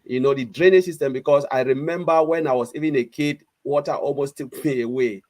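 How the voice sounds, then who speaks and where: A man's voice: medium pitch, fairly quick, darkish tone. A man from Cameroon with a Cameroonian accent, talking casually and earnestly as a panelist, repeating words for emphasis. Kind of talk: casual, unscripted discussion.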